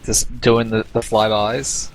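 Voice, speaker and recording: A man's voice: on the low side, little pitch movement, slow pace, slight background noise, poor audio. A man casting an online team shooter match, breaking down plays in an analytical, stop-start way. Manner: analytical, stumbling restarts. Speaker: esports caster. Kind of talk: game commentary.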